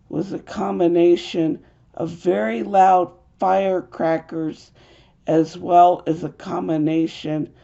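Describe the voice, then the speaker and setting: Hoarse woman with a gravelly, slow voice. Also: fairly low pitch, warm tone. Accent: American. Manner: earnest, halting with fillers. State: hoarse from a cold. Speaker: video host. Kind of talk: urgent religious video monologue. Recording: dull lo-fi home recording.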